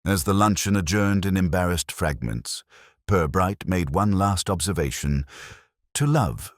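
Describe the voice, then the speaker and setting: A man narrating a scripted mystery story in a measured, formal way. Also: low voice, lively intonation, dry recording, clean studio sound.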